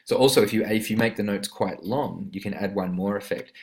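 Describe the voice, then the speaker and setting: Low-voiced man narrating a music software tutorial. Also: brisk. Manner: informal, step-by-step explaining. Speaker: instructor of a music production tutorial. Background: synth instrument notes played during the demonstration.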